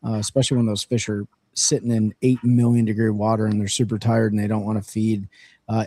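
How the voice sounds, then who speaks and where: A man with a hoarse, worn-out voice chats casually on a fishing livestream, energetic and rambling. His pitch sits in a plain middle range. The sound is close and dry, with no room echo. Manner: energetic, rambling, filler-heavy. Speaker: fishing show co-host. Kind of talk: casual livestream chat with sponsor reads. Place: region of central North Carolina.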